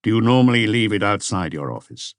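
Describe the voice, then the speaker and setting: A man voicing a calm chief inspector in an audiobook, asking questions in a measured, politely insistent way. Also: on the low side, no room sound, clean studio sound, lightly acted. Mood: probing. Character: calm, probing male police chief inspector.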